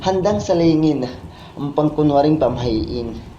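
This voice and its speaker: Filipino guy doing dramatic solemn spoken word, mellow tone, kinda muffled and really echoey recording. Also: fairly high pitch, lively intonation, unhurried. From Philippines. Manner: solemn, declamatory, impassioned patriotic delivery. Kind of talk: dramatic Tagalog spoken word poetry recital. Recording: muffled, echoey, with background noise.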